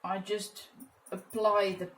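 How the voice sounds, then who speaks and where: A woman's voice: mid-range voice, level tone, slow. A woman with an Afrikaans accent, chatting with viewers as she teaches a painting lesson and calling out cheerful exclamations as she works. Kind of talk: casual step-by-step painting lesson. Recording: echoey room with faint background noise.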